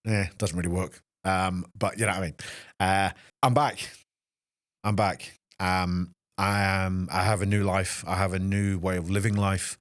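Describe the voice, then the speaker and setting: A Scottish man in his fifties, a fitness trainer hosting a solo podcast, speaking in an emotional, halting way with many restarts. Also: deep voice, level tone, dry recording, studio quality. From Scotland. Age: 50s. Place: small podcast studio.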